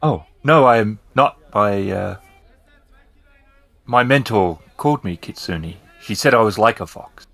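Guy playing a young lady street performer in a tabletop game, formal n humble, lowish voice, really dry sound. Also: expressive, measured pace, gravelly, a bit bright, no echo, heavily acted. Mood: polite, curious. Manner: formal, courteous. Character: pretty, mischievous young female street performer, polite and humble.

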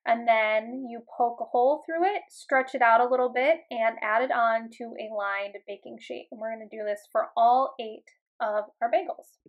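Relaxed, chatty woman with a higher voice. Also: normal speed, few pauses, lo-fi sound. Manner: relaxed and chatty, with frequent ums and uhs. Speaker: home cooking vlogger. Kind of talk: casual voice-over narration. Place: home kitchen voice-over.

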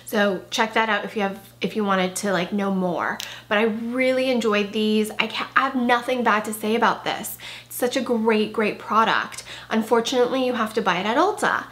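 A woman's voice: fairly high pitch, normal speed, a bit bright. Chatty woman reviewing makeup, losing her voice. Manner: chatty, enthusiastic, with frequent "like" and "really". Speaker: beauty vlogger. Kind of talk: casual product review. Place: bedroom with clothing rack, indoors.

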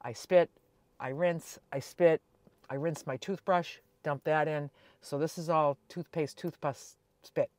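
A woman's voice: deep voice, fairly even intonation, unhurried, a little mellow, no room sound. Chatty older woman vlogger, dry close sound. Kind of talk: casual chat to camera. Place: inside a small camper van.

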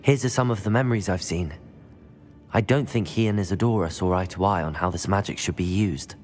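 A young man playing a resolute, measured student wizard hero in a video game, his voice slightly husky. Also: deep voice, darkish tone, close to an everyday voice. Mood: thoughtful. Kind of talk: video game cutscene acting. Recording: music underneath.

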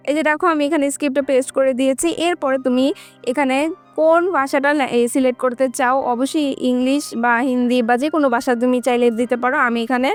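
A woman's voice: sits high, clear, resonant tone. A woman giving a casual how-to tutorial, talking directly to her viewers step by step. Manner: instructional, addressing viewers directly. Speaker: tutorial host.

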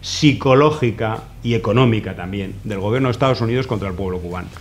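A man's voice: lowish voice, fast. Sarcastic middle-aged man. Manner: sarcastic, mocking. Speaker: host. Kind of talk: political commentary chat. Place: small office studio with computers.

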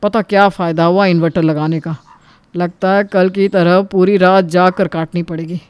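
A woman voicing a weary, grumbling married man, the elder brother in an animated family story. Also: fairly low pitch, moderate pace, clean tone, no room sound, pitched below the performer's own voice, lightly acted.

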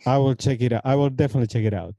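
A man's voice: mid-range voice, rapid-fire, dark tone. A Finnish man hosting a casual podcast over a video call, friendly and upbeat. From Finland. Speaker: podcast host. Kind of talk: casual chat.

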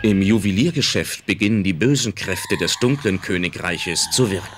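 A woman's voice: rumbling low, lively intonation, normal speed, loud music behind the voice. A woman reading scripted narration as the storyteller of a radio play.

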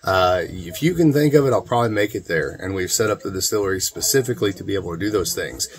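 Middle-aged male vlog host, lowish voice, folksy and informal, talking straight to camera from a room at home. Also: animated, fast talker, breathy edge. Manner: folksy, informal, addresses viewers as guys. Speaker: distiller and video channel host. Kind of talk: casual talking-head vlog. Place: small cluttered room at home, indoors.

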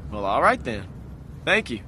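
An actor playing a cautious, level-headed young survivor in a video game. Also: male voice, medium pitch, very animated, normal speed, pushed and bright, a little hiss or hum. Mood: guarded. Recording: game dialogue over music.

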